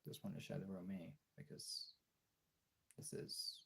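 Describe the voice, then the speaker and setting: A man chatting candidly on a livestream in a halting, husky, gravelly voice, swearing casually, recorded on a phone. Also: low voice, little pitch movement, bright, forceful tone. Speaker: live streamer and voice actor. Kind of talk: casual confessional livestream chat.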